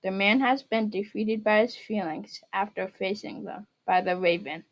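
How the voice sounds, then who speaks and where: A young woman reads a literary retelling aloud from a script. Her voice is medium in pitch, and she narrates as a student reader. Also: normal speed. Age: teens or young adult.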